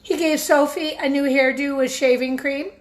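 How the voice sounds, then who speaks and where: A woman teacher reading a picture book aloud expressively, pausing to put questions directly to young listeners. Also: high-pitched. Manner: expressive, addresses children directly.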